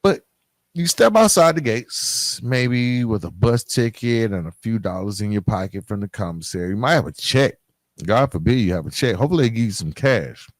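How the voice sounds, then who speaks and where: A man's voice: lowish voice, expressive, moderate pace, no room sound. An American man hosting a solo podcast in a conversational, emphatic way, using street slang and often checking in with the listener. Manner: colloquial street-inflected slang. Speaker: podcast host.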